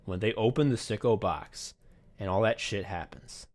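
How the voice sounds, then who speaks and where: A man with a slightly low voice chats as a podcast host. He is effusive and profane, stressing his points and slipping into quoted dialogue as he tells a story in a loose, conversational way. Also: normal speed, a little mellow. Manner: acts out remembered exchanges in dialogue. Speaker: podcast host and audio play writer. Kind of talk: casual podcast chat, retrospective.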